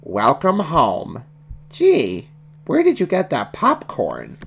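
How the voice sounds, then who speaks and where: Storybook bear cub, played by a woman: worried, soft-hearted, a little glum, slow delivery. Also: mid-range voice, huge pitch swings, vocal fry, slightly rough, pitched above the performer's own voice, exaggerated voice. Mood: relieved. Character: gentle, worried young toy-loving bear cub.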